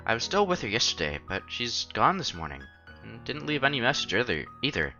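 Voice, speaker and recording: A man's voice: medium pitch, brisk, lo-fi sound. A man streaming a visual novel, reading it aloud dramatically, acting out the lines and adding casual asides. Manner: animated, acts out protagonist's lines. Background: soft game music.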